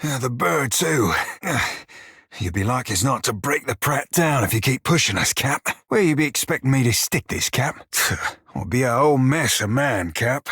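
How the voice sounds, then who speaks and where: A man voicing a cheeky, foul-mouthed small furry pirate with a husky rasp and a salty pirate dialect, for a video game. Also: medium pitch, animated, moderate pace, a bit bright, dry recording, clean studio sound. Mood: irritated. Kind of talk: video game character voice lines.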